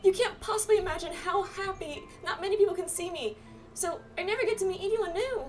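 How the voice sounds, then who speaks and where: A woman voicing a tiny, excitable female spirit companion in a game, gushing and bubbly. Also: very high-pitched, brisk, pitched above the performer's own voice. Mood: excited. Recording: distant mic, game music behind.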